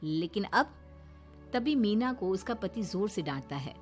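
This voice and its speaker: A woman's voice: medium pitch, lively intonation, normal speed, darkish tone. A woman giving scripted narration of a moral story as its narrator. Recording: music behind the voice.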